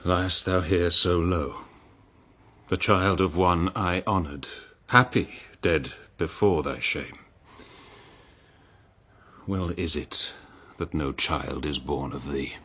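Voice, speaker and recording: A man's voice: low voice, slow pace, a bit bright, full character voice. An actor playing a stern, sorrowful, betrayed king in a declamatory verse drama. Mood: stern reproach. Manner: grave, declamatory.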